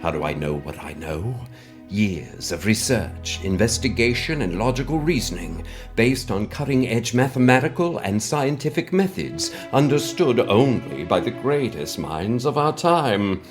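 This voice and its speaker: A man playing a pompous, mysterious benefactor who delivers a wordy, theatrical comic monologue with dramatic pauses. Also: mid-range voice, animated, over music, lightly acted. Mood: grandiose, secretive. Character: mysterious pompous anonymous benefactor, male, speaking on cassette.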